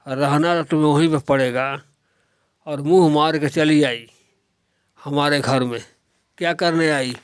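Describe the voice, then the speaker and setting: A man's voice: a little high, steady tone, measured pace, pauses often, clear, resonant tone, dry recording, clean studio sound, natural voice. A man playing an indignant father in a moral-story cartoon, scolding his married daughter with pointed questions.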